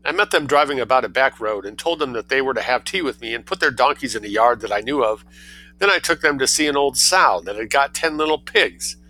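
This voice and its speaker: A man's voice: medium pitch, animated, brisk, bright, forceful tone, rough recording. A man voicing a scheming little boy who sounds sweet but speaks in a cheeky, matter-of-fact way. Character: cunning angelic-seeming little boy.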